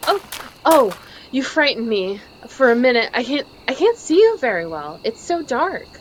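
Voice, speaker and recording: A polite, naive photographer in a radio play, voiced by a woman. The voice is high and lively, with a nervous, hesitant stammer. Faint noise sits behind it. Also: moderate pace, rough recording. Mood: flustered, polite. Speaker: amateur voice actor in live table read. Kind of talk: old-time radio drama acting.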